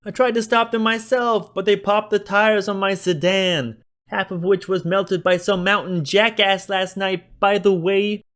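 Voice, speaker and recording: A man's voice: sits high, flat delivery, unhurried, a bit bright, pitched above the performer's own voice. A man voicing Joe, an irate shopkeeper who whines in a thick city accent. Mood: whiny. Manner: whining, complaining.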